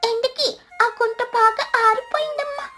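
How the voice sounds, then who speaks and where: Tiny, squeaky, very high-pitched bird child. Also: female voice, moderate pace, bright, forceful tone, soft background music. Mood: proud. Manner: childlike, earnest. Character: small, sweet, eager young bird child, keen to help. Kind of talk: Telugu children's cartoon dialogue.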